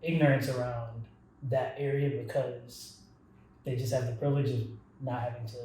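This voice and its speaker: A man's voice: mid-range voice, steady tone, normal speed, darkish tone. A young man co-hosting a casual podcast, talking in a rambling way with many restarts and "like" fillers. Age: young adult. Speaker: podcast co-host. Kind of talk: casual chat. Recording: very echoey room, distant from mic.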